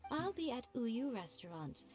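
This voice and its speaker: An actress playing a sly, self-assured chief editor in a video game, speaking in a poised, teasing way. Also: female voice, fairly high pitch, sing-song, unhurried, darkish tone. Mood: sly, composed. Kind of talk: scripted video game dialogue. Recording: music behind the voice.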